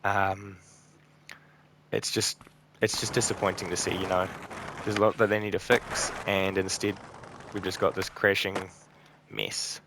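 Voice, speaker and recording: A weary man with a low, gravelly, croaky voice talks casually over gameplay. He sounds tired and burnt out, rambling and trailing off between thoughts. A faint hiss of noise sits under the recording. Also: level tone, measured pace, long pauses. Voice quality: croaky, a bit raspy. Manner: subdued, rambling, frequent ums and trailing off. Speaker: gaming channel host.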